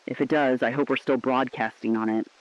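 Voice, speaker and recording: A man's voice: medium pitch, fairly even intonation, fairly quick, lightly acted. A man playing a defiant, sombre resistance radio correspondent, speaking earnestly and poetically in a scripted drama. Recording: muffled, lo-fi radio-drama sound.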